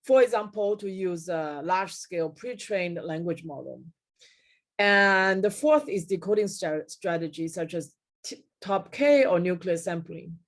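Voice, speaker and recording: A middle-aged woman giving a technical keynote lecture over slides on a video call, pausing often with fillers and correcting herself mid-word. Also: lowish voice. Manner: frequent fillers and self-corrections. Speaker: keynote speaker. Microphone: webcam at desk, video call.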